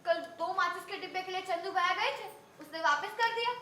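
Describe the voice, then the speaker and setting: Harried, sarcastic daughter-in-law, family drama; very high, sharp voice, echoey room. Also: female voice, brisk, a little hiss or hum. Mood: bossy, weary. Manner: complaining, blunt, sarcastic. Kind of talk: naturalistic Hindi family film dialogue.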